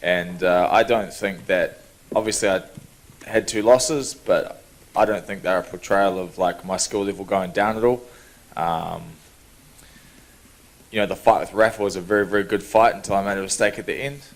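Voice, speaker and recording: A young man, answering reporters' questions in an easygoing, relaxed way at a media day. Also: deep voice, normal speed. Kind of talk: press scrum answers.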